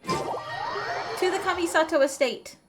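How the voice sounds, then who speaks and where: A woman's voice: extremely high pitch, huge pitch swings, takes it slowly. A lighthearted, enthusiastic woman giving casual gameplay commentary as a streamer. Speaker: game streamer. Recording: game music under the voice.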